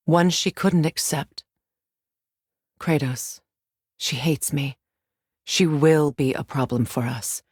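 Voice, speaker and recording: An actress playing a proud, mature Norse goddess in a video game, measured and formal in speech, wry and guarded. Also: female voice, low-pitched, dry recording, studio quality. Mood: bitter, guarded. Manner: measured, dignified, formal phrasing. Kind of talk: dramatic game dialogue and storytelling.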